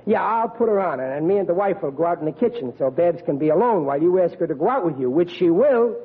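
A man's voice: on the high side, lively intonation, fast, slightly rough. A man with an American accent playing a blustering, meddlesome middle-aged working-class dad in an old radio sitcom, emphatic and folksy. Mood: excited. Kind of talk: 1940s radio sitcom acting. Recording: tinny, noisy old radio recording.

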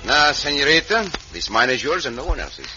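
An actor playing a gallant, self-assured Mexican adventurer in an old radio western, with courtly phrasing sprinkled with Spanish. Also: male voice, medium pitch, sing-song, brisk, over music, natural voice. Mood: gentle, gallant. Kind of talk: 1940s-50s radio western acting. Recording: noisy muffled old radio recording.

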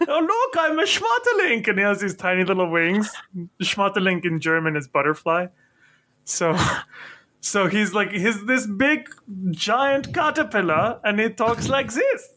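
A man's voice: sits high, a little slow, pushed and bright. A man hosting a podcast, telling rambling self-mocking stories in casual chat and laughing often. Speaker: podcast host.

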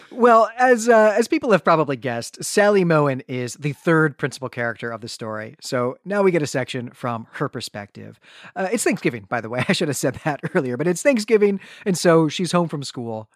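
A man's voice: fairly high pitch, no room sound, studio quality. A man speaking as a podcast co-host, giving an articulate, enthusiastic and conversational recap and discussion.

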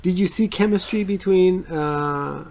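A man's voice: high voice, measured pace, creaky, darkish tone. A man co-hosting a casual film-review podcast, who rambles with many fillers and restarts. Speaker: podcast co-host.

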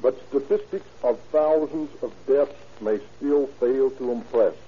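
A man's voice: mid-range voice, a little slow, warm tone, husky. An American man giving a stiff, solemn, formal prepared address as a police captain on 1930s radio. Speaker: police captain, guest speaker. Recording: muffled, noisy 1930s radio recording.

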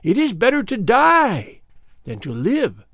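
A man voicing an ancient, cruel witch who speaks slowly with shrill mockery. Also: huge pitch swings, fairly bright tone, dry recording, studio quality, exaggerated voice. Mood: mocking. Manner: shrill, slow, mocking.

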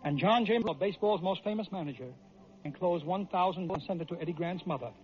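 A man's voice: high-pitched, quick pace, a little hiss or hum. A man with an American accent delivering emphatic, theatrical scripted sports stories in an old-time radio style. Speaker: radio storyteller and sports host. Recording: dull vintage radio recording.

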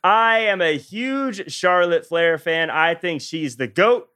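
A man's voice: sits high, takes it slowly, bright, forceful tone, clear, resonant tone. A man on a casual wrestling podcast panel, giving opinions in an emphatic, wry way. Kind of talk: casual opinionated sports debate.

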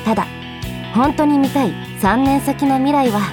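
A woman's voice: sits high, music in the background, lightly acted. A woman acting in a radio drama as a flustered, headstrong office worker in her twenties who is secretly in love, with emotive delivery. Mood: narrating. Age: young adult. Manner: animated, emotive, quick to protest. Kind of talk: Japanese radio drama acting with inner monologue.

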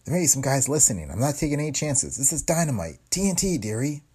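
A man's voice: mid-range voice, sing-song, fast talker, mellow, husky, pitched above the performer's own voice. A man voicing a brassy, streetwise woman tipster who talks in tough slang and calls everyone dearie. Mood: conspiratorial. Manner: slangy tough-girl talk, keeps saying dearie.

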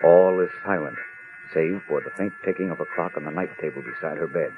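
A man's voice: a bass voice, a little fast, very noisy. A man acting as a grave, suspenseful narrator in a theatrical 1940s radio drama style. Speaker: announcer and narrator. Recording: dull old radio recording. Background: dramatic music.

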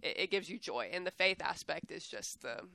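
A woman's voice: lowish voice, lively intonation, normal speed, creaky, sharp tone, dry recording. A woman in her thirties from San Antonio, talking as an interview guest in an earnest, upbeat way, laughing often and repeating words as she goes. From San Antonio, Texas. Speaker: guest, athletics staff director. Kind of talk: casual interview chat.

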